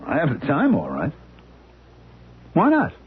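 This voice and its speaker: An actor playing a skeptical man in a 1970s radio drama who keeps questioning in disbelief, with a husky voice. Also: a little high, huge pitch swings, a little slow, exaggerated voice. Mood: incredulous. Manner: incredulous, jumpy questioning. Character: skeptical man named Paul, incredulous. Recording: lo-fi broadcast sound, phone-like.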